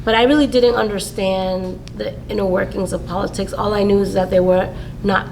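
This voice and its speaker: A woman's voice: mid-range voice, fairly quick, vocal fry. A woman from the Dominican Republic, telling her life story as a council candidate in an interview. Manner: earnest, steady storytelling. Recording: noisy background.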